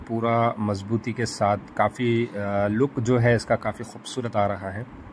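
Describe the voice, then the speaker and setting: Male vlog host, fairly low voice, easygoing and halting voice-over, faint room noise. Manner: relaxed, hesitant with frequent fillers. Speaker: farm channel host. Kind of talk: casual voice-over narration. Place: home studio, recorded separately as voice-over.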